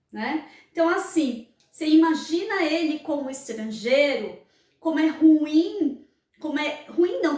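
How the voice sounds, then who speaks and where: Slow, high-voiced Brazilian woman explaining earnestly. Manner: frequent tag questions, earnest and didactic. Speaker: channel host. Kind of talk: explanatory vlog talk. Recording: roomy echo, mic at a distance.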